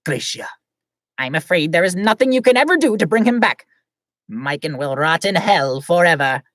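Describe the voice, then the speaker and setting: A woman voicing a grave, superior guardian angel who speaks slowly and solemnly. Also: fairly low pitch, expressive, fairly bright tone, dry recording, studio quality, voice pushed up. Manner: slow, solemn.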